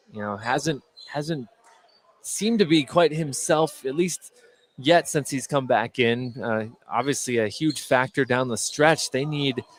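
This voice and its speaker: A man doing live play-by-play radio commentary of a basketball game as the announcer. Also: medium pitch. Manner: rises to shouts on big plays. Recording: gym noise behind the voice. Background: basketball game in a gym, bouncing balls.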